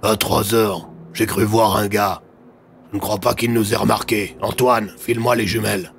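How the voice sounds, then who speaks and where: Man playing a gruff, creaky-voiced colonel. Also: fairly low pitch, fairly even intonation, normal speed, a bit bright, a little hiss or hum. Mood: commanding. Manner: curt orders, slangy. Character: gruff, decisive male army colonel, curt commander.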